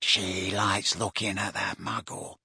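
A vicious, deranged young man, hissing his taunts slowly and with gloating menace. The voice is gravelly and sharp, recorded close and dry. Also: low-pitched, level tone, no echo, pitched below the performer's own voice, full character voice. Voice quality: rasping, hissing whisper.

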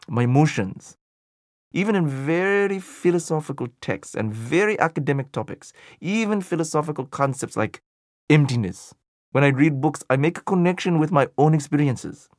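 A man's voice: mid-range voice, no echo, studio quality, lightly acted. A man voicing an older male Buddhist teacher in an audiobook dialogue, earnest and questioning, thinking aloud as he searches for meaning. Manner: questioning, searching, thoughtful delivery.

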